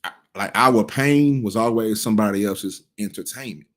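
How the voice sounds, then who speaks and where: A man's voice: fairly low pitch, normal speed. A man in his thirties giving casual cultural commentary, blunt and profane, rambling with frequent restarts. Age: 30s.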